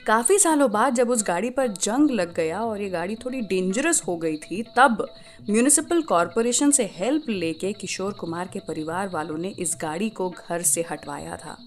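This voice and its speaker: An Indian woman hosting a radio show, telling stories in an expressive, scripted way and switching between Hindi and English. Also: medium pitch, normal speed, soft background music. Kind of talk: scripted radio storytelling about classic film music.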